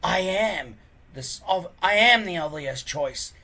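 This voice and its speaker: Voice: medium pitch, animated, measured pace, pushed and bright, pitched above the performer's own voice, exaggerated voice. Someone reading a storybook aloud, voicing a smug Viking boy bully who sneers and boasts. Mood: smug, boastful.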